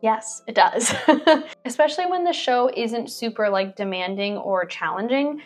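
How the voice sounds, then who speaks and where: A woman's voice: on the high side, animated, unhurried, faint music behind. A young woman in her twenties, a cruise ship performer, chatting casually to camera as she reads out viewer questions and answers them with lots of fillers and little laughs, recorded in a small bedroom on a lapel mic. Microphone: clip-on lapel mic.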